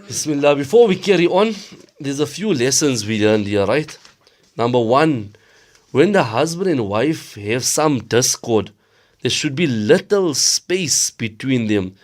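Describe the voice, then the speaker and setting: Patient sheikh teaching class. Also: male voice, medium pitch, sing-song. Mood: patient, instructive. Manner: measured, instructive, lesson by lesson. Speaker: Islamic studies teacher. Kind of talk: scripted audio drama acting.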